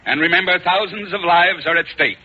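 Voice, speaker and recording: An actor playing a grave, worried space-adventure colonel, middle-aged and clipped, in 1950s radio style. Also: male voice, high-pitched, brisk, close to an everyday voice. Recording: muffled, noisy 1950s radio recording.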